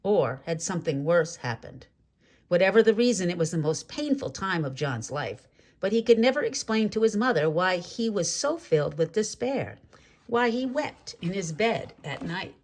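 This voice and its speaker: A woman reading a children's story aloud in an expressive, measured way, drawing out key words for drama. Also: on the low side. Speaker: storybook reader.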